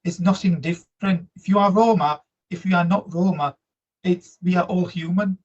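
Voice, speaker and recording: Man, Slovak accent, earnest and reflective, husky, higher pitch, muffled audio. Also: fairly even intonation. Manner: earnest, reflective, halting non-native grammar. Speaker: guest, migrant workers support officer. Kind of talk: interview answers. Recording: dull lo-fi audio.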